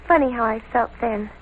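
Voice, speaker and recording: High-voiced, gushing American teenage girl, vintage radio. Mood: hesitant, confiding. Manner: breathless, slangy, halting confession. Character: lovestruck, defiant teenage girl, gushing and naive. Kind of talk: 1940s American radio crime drama acting. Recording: noisy vintage radio recording.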